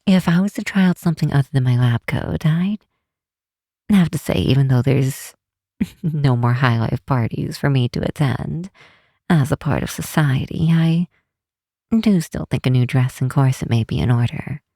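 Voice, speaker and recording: A woman playing an affectionate, teasing vampire scientist in an intimate ASMR roleplay, speaking softly and unhurriedly to a close friend. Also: very deep voice, dry recording. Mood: playful, teasing. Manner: soft, unhurried, teasing, sometimes breathy near-whisper. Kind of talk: intimate ASMR roleplay, one side of a call.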